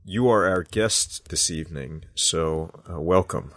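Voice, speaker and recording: A male podcast host reading sponsor spots and a guest introduction in a measured way, then chatting in a relaxed, hesitant manner. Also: sits low, no echo.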